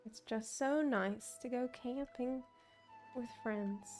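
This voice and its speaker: A woman chats casually as she streams a game. Her voice is fairly high and her pace is slow, with faint game music behind her. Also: darkish tone. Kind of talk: casual live playthrough commentary.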